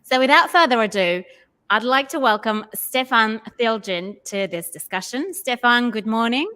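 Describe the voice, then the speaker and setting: A woman's voice: a little high, expressive, normal speed, pushed and bright, clean tone. A woman hosting a live online interview session, a business change coach who talks in an upbeat, welcoming way with frequent ums.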